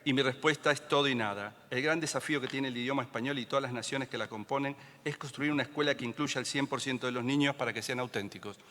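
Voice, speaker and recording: A man's voice: medium pitch, fast, hardly any pauses, a bit bright. A man from Argentina giving an impassioned conference talk, fervently listing ideas with rising emphasis. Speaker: conference speaker. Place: large theater stage. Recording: long hall reverb from a theater.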